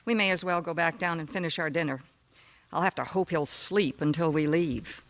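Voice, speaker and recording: A woman's voice: sits low, normal speed, lightly acted. A woman playing an anxious, skeptical, well-mannered hotel guest in a radio mystery. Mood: concerned. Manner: worried, questioning.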